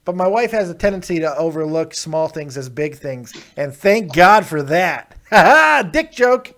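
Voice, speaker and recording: A man with a slightly high voice hosts a call-in show in crude, joking chat. He teases his callers and breaks into loud laughter. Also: big pitch swings, measured pace. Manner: loud, teasing, bursts of laughter.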